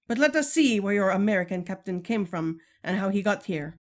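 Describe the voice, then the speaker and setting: A regal Amazon queen, played by a woman, speaks with stately pride. She is formal and measured, like a mother instructing her daughter in a radio serial, at a middling female pitch. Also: lively intonation, natural voice. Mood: proud, stately. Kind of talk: 1940s-style radio serial acting.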